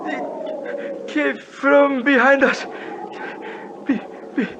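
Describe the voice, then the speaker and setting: An actor in a 1950s radio drama playing a gravely injured, deferential Himalayan mountain guide, weak and in pain, speaking in halting, formal, simple English. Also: male voice, very high voice, fairly even intonation, heavily acted. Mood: shaken, pained. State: in pain, weakened, dying. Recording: noisy muffled 1950s radio broadcast recording.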